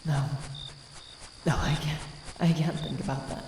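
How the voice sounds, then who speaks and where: A woman acts out an ancient vampire woman with a low, slow voice. She is anguished, devoted and vengeful, breaking into halting breathy whispers in a dramatic fantasy roleplay. Her voice rings in long reverb over night-time cricket ambience. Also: fairly even intonation, darkish tone, slight background noise. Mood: panicked grief. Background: night ambience with crickets and sound effects.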